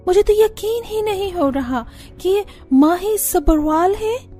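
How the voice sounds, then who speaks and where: Woman playing a high, drawling, snobbish rich girl. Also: animated, takes it slowly, mellow, faint music behind, exaggerated voice. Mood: mocking. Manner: drawling, mixes English phrases. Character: snobbish rich young woman, mocking and flashy.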